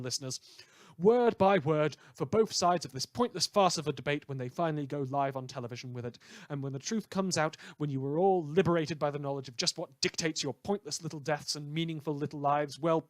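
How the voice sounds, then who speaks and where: Man as post-apocalyptic radio host, male, theatrical, brooding, dramatic pauses, slightly high pitch, very dry sound. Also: no room sound, natural voice. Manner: theatrical, dramatic pauses, swinging from hushed to loud. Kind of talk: fictional community radio broadcast monologue.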